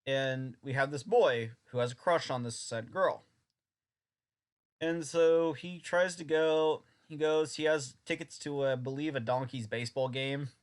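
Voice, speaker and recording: A man's voice: mid-range voice, fairly even intonation, a little slow, clean tone. A young man reviewing an old anime dub in a casual, sarcastic, rambling way.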